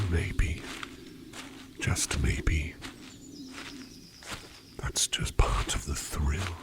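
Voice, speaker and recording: A man voicing a gentle sleep demon in human disguise, speaking formally and haltingly in a breathy near-whisper for an ASMR roleplay. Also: extremely low pitch, heavily acted. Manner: slow, halting, formal phrasing. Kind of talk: ASMR roleplay, personal attention. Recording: noisy, outdoor nature sounds behind.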